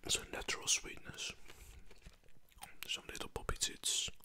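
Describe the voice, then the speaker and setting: A man whispers softly in short, spare phrases, right up close to a condenser microphone in a small room at home. The whisper is crisp and very bright, in an intimate ASMR style. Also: measured pace. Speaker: ASMR eating host. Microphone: close desktop condenser microphone.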